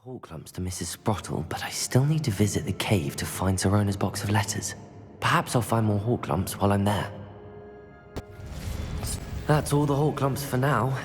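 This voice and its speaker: A man's voice: on the low side, normal speed, soft background music, close to an everyday voice. A young man playing a polite, earnest student adventurer in a video game, speaking courteously. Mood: musing. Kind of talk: video game dialogue acting.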